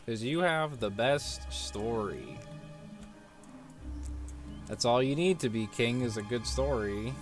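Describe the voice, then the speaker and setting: A man's voice: mid-range voice, sing-song, slow, long pauses. A young man giving casual, jokey commentary on a game playthrough, often mimicking lines. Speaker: gaming streamer. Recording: loud music behind the voice.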